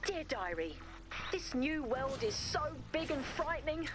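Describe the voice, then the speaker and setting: A woman playing an eccentric, sweet and nosy video game character, theatrical and expressive. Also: sits high, unhurried, noisy background. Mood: plaintive, earnest. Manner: theatrical, expressive, reads aloud dramatically.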